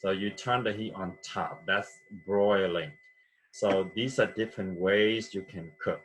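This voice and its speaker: Slow-paced male teacher. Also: sits low, fairly even intonation, slight background noise, poor audio. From Vietnam. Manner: patient, repeats words, frequent "okay?" checks. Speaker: vocabulary teacher. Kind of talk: classroom vocabulary lesson.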